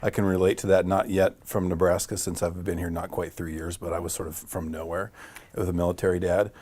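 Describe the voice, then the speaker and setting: A man's voice: deep voice, little pitch movement, brisk, a little mellow. A middle-aged man hosting a podcast, upbeat and encouraging, with frequent affirming sounds. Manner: upbeat, many affirming fillers. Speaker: podcast host, department director. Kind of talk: podcast host chat.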